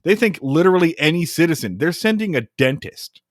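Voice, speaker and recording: Animated man recapping a movie. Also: on the high side, fairly quick, dry recording, studio quality. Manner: animated, acts out scenes in funny voices, swears. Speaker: podcast co-host. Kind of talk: casual chat recapping a film.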